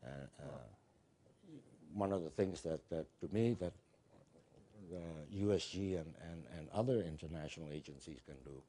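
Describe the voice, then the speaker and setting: Deep-voiced halting man on a panel. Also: dark tone, a little hiss or hum. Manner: halting, repeats words and restarts often. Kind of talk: panel discussion remarks. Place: conference hall.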